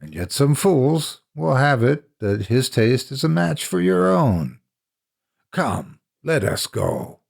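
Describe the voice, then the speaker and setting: A man playing a cunning, vengeful gentleman in a gothic horror story, speaking with deliberate, flattering courtesy. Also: medium pitch, gravelly, voice pushed up, exaggerated voice. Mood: feigned reluctance. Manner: flattering, deliberate, falsely reluctant.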